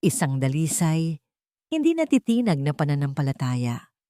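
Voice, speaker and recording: A woman's voice: sits low, very animated, moderate pace, warm tone, no echo, studio quality. A woman narrating an audio drama in a scripted, emotional and emphatic storytelling style.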